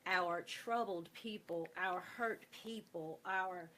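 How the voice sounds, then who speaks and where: A woman's voice: fairly low pitch, takes it slowly, sharp tone. A woman talking earnestly as a cast member on an interview panel, making her points assertively and with repetition. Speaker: guest, stage play cast member. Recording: clearly noisy background.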